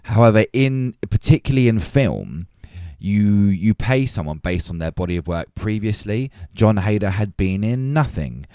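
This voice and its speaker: A man's voice: lowish voice, expressive, moderate pace, warm tone, smooth, no room sound, studio quality. A man hosting a podcast, arguing his points emphatically in a conversational film debate. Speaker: podcast host.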